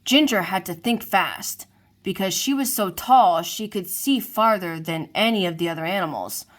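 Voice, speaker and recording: A woman reading a children's storybook aloud to kids, lively and expressive. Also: medium pitch, normal speed. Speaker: storybook reader.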